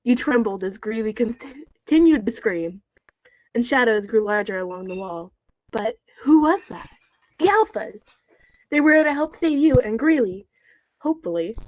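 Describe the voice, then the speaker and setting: A woman's voice: fairly high pitch, expressive, moderate pace, a little mellow, dry recording, rough recording. A young woman reading a story aloud casually, dropping in wry, nitpicking asides. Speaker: co-host reading fan fiction on a call. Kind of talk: casual read-aloud with joking commentary.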